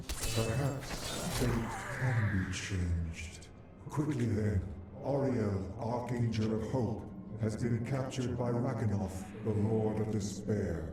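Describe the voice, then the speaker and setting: An actor playing a solemn, aloof angelic keeper of fate in a fantasy game, formal and portentous. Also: male voice, takes it slowly. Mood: grave. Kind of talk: dramatic fantasy game acting. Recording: booming echo, distant, loud ambience.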